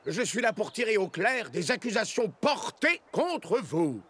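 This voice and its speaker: A man voicing an excitable, theatrical pirate-world character in a children's cartoon, declaiming his words. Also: high-pitched, unhurried, husky, full character voice. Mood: officious. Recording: music behind the voice.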